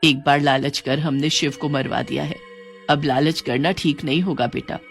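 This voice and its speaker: A man's voice: fairly high pitch, fairly even intonation, moderate pace, creaky, fairly bright tone, soft background music, voice pushed down. A man voicing a cautious, worried mother in a storybook, speaking in a moralizing way. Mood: cautioning. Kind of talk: Hindi storybook acting.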